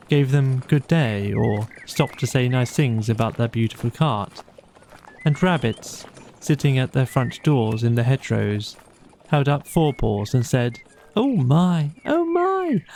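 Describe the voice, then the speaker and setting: A man reading a bedtime audiobook as narrator, with measured, expressive storytelling. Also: mid-range voice, vocal fry, slight background noise.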